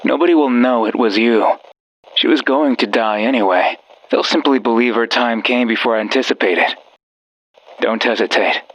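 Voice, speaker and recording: A man's voice: medium pitch, normal speed, a bit bright, dull audio, close to an everyday voice. A man voicing a sinister, coaxing friend who guides the listener in a horror visual novel, with an ominous, darkly sardonic manner. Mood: sinister persuasion. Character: sinister, coaxing male voice of a friend over a radio.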